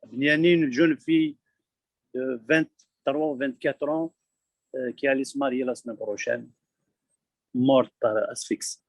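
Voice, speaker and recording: A man from Kabylie speaking abroad, giving an urgent, halting testimony full of repeated words. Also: medium pitch, steady tone, dry recording. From Kabylie, Algeria. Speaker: community member abroad. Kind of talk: impassioned testimony.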